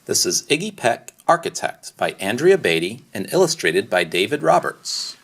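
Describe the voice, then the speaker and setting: A man reading a children's storybook aloud in an expressive, rhythmic, dramatic storytelling style. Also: on the low side, normal speed, creaky, husky. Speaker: storybook reader.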